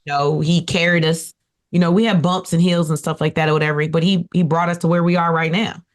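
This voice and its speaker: A woman with a raspy voice, talking casually and playfully about her husband on a podcast. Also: fairly low pitch, a little fast. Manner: animated, playful, teasing. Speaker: podcast guest, wife. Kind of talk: casual chat.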